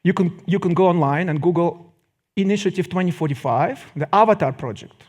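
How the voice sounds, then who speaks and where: A middle-aged man lectures to the public in Russian-accented English. His voice sits a bit higher than most men's. He phrases things deliberately, pausing for effect and adding wry remarks. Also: a little mellow. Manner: deliberate phrasing with dramatic pauses and wry asides.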